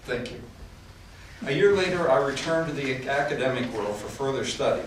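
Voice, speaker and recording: A man's voice: mid-range voice, animated, moderate pace, a little hiss or hum, natural voice. A man playing a courteous professor who welcomes guests and tells his life story in a formal, storytelling manner at a staged reenactment. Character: genial older male art professor hosting guests. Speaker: actor in a historical reenactment. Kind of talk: staged period reenactment monologue. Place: large echoey room in a house. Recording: distant mic, echoey room, audible ambience.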